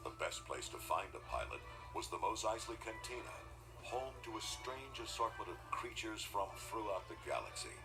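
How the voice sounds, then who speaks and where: A man's voice: fairly low pitch, sing-song, moderate pace. A man narrating a scripted storybook as a read-along. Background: loud music.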